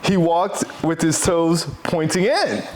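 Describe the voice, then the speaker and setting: A man's voice: on the high side, lively intonation, slow pace, poor audio. An American man from Philadelphia co-hosting a children's read-aloud, enthusiastic and reassuring, repeating phrases in chatty asides. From Philadelphia, United States. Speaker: co-host reading a children's picture book.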